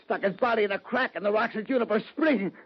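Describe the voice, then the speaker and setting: An actor playing a surly, cornered crooked rancher in a western radio drama, husky, terse and agitated. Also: male voice, sits high, quick pace. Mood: panicked confession. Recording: muffled old-time radio recording.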